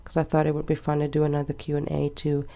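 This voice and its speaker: A woman's voice: low-pitched, completely flat delivery, quick pace, gravelly. A woman in her twenties chatting casually and rambling a little as she decorates her planner. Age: 20s. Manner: chatty and rambling, with soft laughs. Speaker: planner vlogger. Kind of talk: casual chat while planning.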